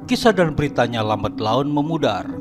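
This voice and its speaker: A man's voice: medium pitch, lively intonation, moderate pace. A man narrating a horror cartoon in a scripted, dramatic storytelling manner. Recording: narration over background music.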